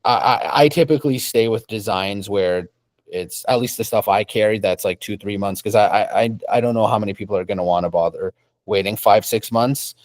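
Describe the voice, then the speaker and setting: A man's voice: fairly low pitch, fairly quick, dry recording. A young man from Canada, a rug dealer, answering interview questions casually at his shop desk, often repeating and restarting words.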